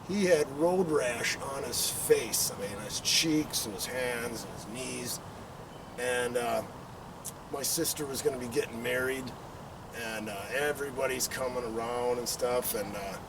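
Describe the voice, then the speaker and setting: A middle-aged man telling a casual, rambling anecdote, acting out bits as he goes, recorded outdoors on a patio from a distance. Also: mid-range voice. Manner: rambling, acts out quotes and engine sound effects. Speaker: storyteller. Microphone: camera several meters away. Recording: distant mic, outdoor background noise.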